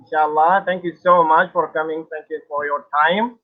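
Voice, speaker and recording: Male host from Sudan, higher voice, hesitant with lots of fillers, dull lo-fi audio. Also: steady tone. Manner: halting, many "uh" fillers, formal phrasing. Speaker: interview host. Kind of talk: live online interview.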